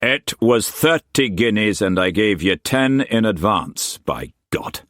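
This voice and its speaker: Male narrator voicing a curt merchant; low, sour, unhurried. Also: expressive, fairly bright tone, dry recording, studio quality. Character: gruff Scottish male merchant, dry and blunt.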